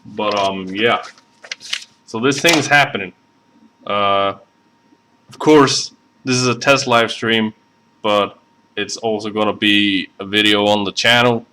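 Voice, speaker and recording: A man's voice: fairly low pitch, steady tone, slow, long pauses, noisy background. A man with a Southern accent chatting casually and rambling, full of fillers, while building a model at his hobby desk. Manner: rambling, self-deprecating, many fillers. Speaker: scale model builder streaming. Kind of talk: casual live stream chat.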